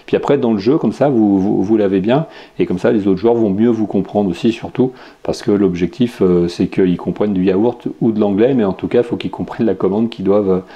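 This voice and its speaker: A man's voice: fairly low pitch, a little fast, hardly any pauses, dark tone, highs cut off. A French man hosting a podcast, casually reviewing tech and games with many fillers and restarts. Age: adult with children. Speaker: podcast host.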